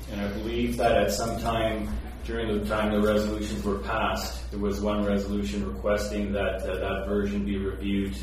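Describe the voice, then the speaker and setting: A male council member speaking haltingly, with many fillers, during a council meeting discussion. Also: on the low side. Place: council chamber. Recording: echoey room from far off, faint noise.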